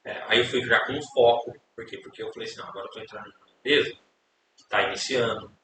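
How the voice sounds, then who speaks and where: A man's voice: mid-range voice, steady tone, quick pace. A young Brazilian man, a podcast guest, telling his life story in a casual, rambling way, repeating words and tagging questions. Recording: echoey room, distant mic, hiss.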